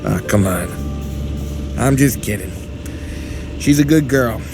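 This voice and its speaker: An actor playing a brash, wisecracking middle-aged mobster in a video game cutscene, with crude jokes and tough street talk, over loud music. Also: male voice, medium pitch, expressive, slow. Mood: teasing. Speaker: gangster.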